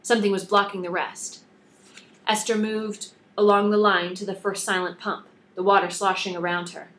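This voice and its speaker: A woman's voice: mid-range voice, flat delivery, normal speed, a bit bright, rough recording. A woman author reading scripted narration from her own novel, audiobook style.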